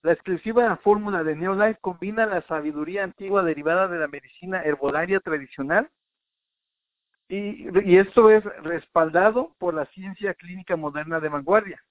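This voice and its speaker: A man's voice: high voice, moderate pace, dry recording. A man presenting an informal health talk in a didactic way, addressing the listener directly, point by point. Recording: narrow, tinny phone-like sound.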